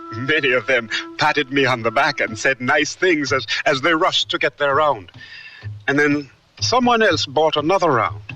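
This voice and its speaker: Earnest, lilting young newcomer. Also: male voice, a little high, lively intonation, a little slow, pushed and bright, recorded from a distance, soft background music, poor audio. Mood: earnest, formal. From Liberia, as the character. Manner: formal, careful, hesitant phrasing. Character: polite, earnest, naive young Liberian newcomer out West. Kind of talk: radio drama acting and letter narration.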